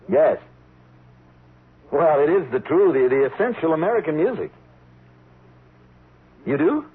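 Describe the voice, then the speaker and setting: Vain politician, old radio sound. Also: male voice, mid-range voice, breathy edge, heavily acted. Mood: falsely folksy. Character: smooth, vain politician, privately snobbish and anxious. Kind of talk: radio drama acting. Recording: narrowband, noisy old radio recording.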